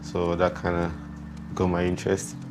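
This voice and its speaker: A man's voice: sits low, completely flat delivery, normal speed. A man from Ghana with a Ghanaian accent, giving a personal account in an interview. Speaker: complainant interviewee. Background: music bed.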